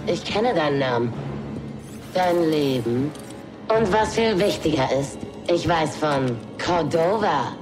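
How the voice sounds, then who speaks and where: A woman playing a menacing, bitter female dark-side inquisitor in an audio drama, with a taunting, deliberate delivery. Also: sits low. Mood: mocking, menacing.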